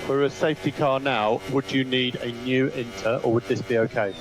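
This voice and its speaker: A man's voice: medium pitch, steady tone, moderate pace. A man working as a race engineer, giving terse team radio instructions and repeating the key calls. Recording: noisy race radio with vehicle sounds. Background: loud car and vehicle noise.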